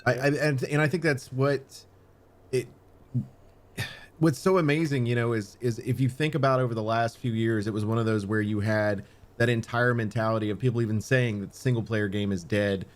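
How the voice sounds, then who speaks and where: A man's voice: mid-range voice, steady tone, normal speed. A man co-hosting a podcast in casual conversation, emphatic and repeating his points. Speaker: podcast co-host.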